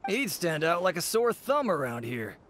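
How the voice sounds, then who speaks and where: A man's voice: a little high, sing-song, normal speed, noisy background. A man voicing a casual, money-minded hired mercenary in a video game. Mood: remarking.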